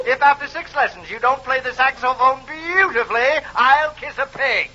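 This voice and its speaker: An actor playing a hard-selling, hammy radio pitchman in a theatrical, peppy 1940s announcer parody. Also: normal speed, bright, forceful tone, full character voice. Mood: persuasive. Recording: noisy, dull old radio recording.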